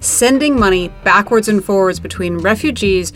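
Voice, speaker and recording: A woman co-hosting a podcast, delivering crisp scripted links between segments. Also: mid-range voice, normal speed, fairly bright tone, soft background music. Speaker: podcast co-host.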